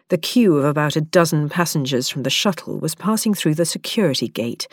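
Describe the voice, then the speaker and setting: A woman reading an audiobook in a measured, even storytelling manner. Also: low-pitched, no room sound, studio quality. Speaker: audiobook narrator. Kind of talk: scripted audiobook narration.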